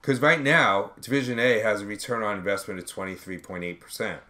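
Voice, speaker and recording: A man working step by step through an accounting tutorial as an instructor, in a measured way, reasoning aloud as he calculates. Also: fairly low pitch, pushed and bright. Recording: background noise with keyboard typing clicks.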